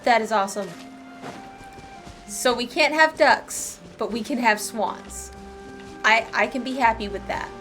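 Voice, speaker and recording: A woman's voice: on the high side, a little slow, long pauses, a bit bright. A woman giving casual, chatty playthrough commentary on a story game, playfully talking back to it. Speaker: streamer playing a story game. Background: game music.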